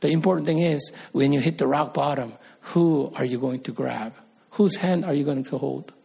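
Earnest male pastor, gravelly. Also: a little high, normal speed, mellow. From Korea. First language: Korean. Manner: earnest, rises to emphatic peaks. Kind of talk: sermon.

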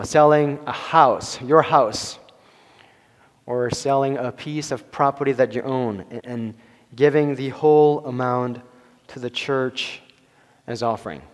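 A man in his forties preaching a sermon to his church, halting with many fillers and restarts and chuckling between phrases. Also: medium pitch. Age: 40s. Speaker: pastor. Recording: long hall reverb.

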